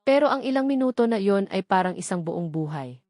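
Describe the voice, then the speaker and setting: A woman with a Filipino accent narrating a scripted dramatic story as an audiobook, in a deliberate, emphatic way with short dramatic phrases. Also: mid-range voice, lively intonation, warm tone, no echo, clean studio sound.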